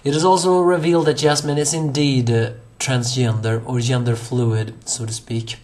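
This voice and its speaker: A hoarse young man reviewing an anime episode on his own, rambling with many fillers, restarts and self-corrections. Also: medium pitch, flat delivery, normal speed, a little mellow.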